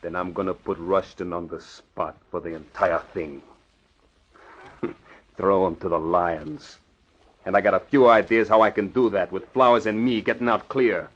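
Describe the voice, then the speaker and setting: A low male voice makes a cold crime boss of the radio serial era. He is curt and scheming, holding anger in check with open menace. The recording is dull and old. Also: normal speed, fairly bright tone. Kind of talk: old-time radio drama acting.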